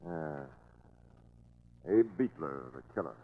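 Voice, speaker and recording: An actor playing a resolute police captain giving brisk, authoritative orders in a 1940s radio crime drama. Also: male voice, very deep voice, animated, full character voice. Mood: grim. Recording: muffled, noisy 1940s radio recording.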